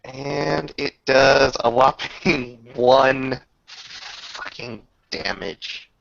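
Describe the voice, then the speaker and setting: A man narrating an improvised tabletop game as game master, halting with drawn-out pauses as he describes the action. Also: medium pitch, vocal fry, fairly bright tone. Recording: dull lo-fi voice recording.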